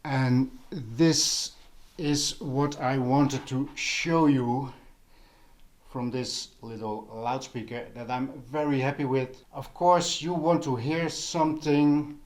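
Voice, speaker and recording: A man with a non-native English accent chatting casually in a vlog as an audio hobbyist, halting and pausing mid-thought in an unhurried way. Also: mid-range voice, fairly even intonation. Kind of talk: casual vlog product chat.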